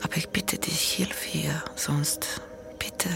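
An actor playing a desperate parent, pleading in a breathy whisper in a radio drama. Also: mid-range voice, monotone, takes it slowly.

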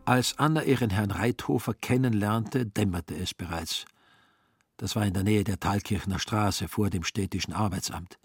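A man's voice: lowish voice, moderate pace. A man narrating a literary radio drama in scripted prose. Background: music.

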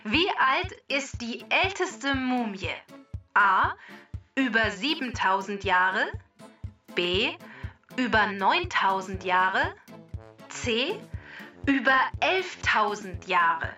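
A woman with a bright, fairly high voice reads quiz questions very slowly for a children's podcast, swinging widely in pitch. She sounds set back from the mic, slightly dull, with music behind her. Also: big pitch swings. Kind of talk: slow, scripted quiz reading. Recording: distant, slightly dull recording.

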